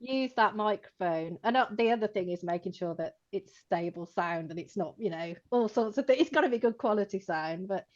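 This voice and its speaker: A woman co-hosting an informal chat, talking in a chatty, animated way with lots of "like" and "you know" and laughing as she speaks. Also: medium pitch, a little fast, hardly any pauses, lo-fi sound. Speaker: co-host, co-owner of a computing education company.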